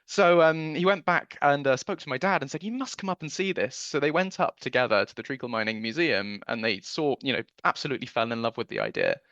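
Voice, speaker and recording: A man's voice: medium pitch, a little fast, few pauses, fairly bright tone, no echo, muffled recording. A man giving chatty, enthusiastic interview answers as a producer, recounting memories in a conversational way.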